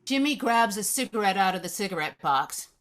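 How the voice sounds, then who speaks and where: A woman narrates a screenplay at a table read, reading out the action and scene headings. Her voice sits at an average pitch for a woman. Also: expressive, a little fast, a bit bright. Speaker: stage-direction reader.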